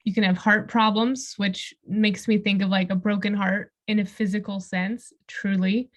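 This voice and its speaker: Female podcast co-host, conversational delivery, medium pitch. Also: flat delivery, normal speed.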